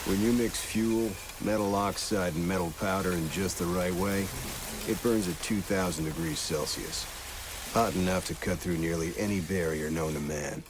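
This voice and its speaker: A man's voice: low-pitched, normal speed, noisy background, close to an everyday voice. An actor playing a confident demolitions specialist who relishes his craft, in a video game cutscene monologue. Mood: menacing relish. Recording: loud music behind.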